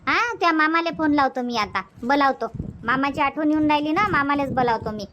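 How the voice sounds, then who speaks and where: High, dull-sounding grumbling cartoon grandma acted by a woman, Varhadi Marathi accent. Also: fairly quick, natural voice. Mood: exasperated. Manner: chatty, complaining, repetitive. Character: grumbling, talkative village grandmother. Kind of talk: cartoon comedy dubbing. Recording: dull, lo-fi, faint noise.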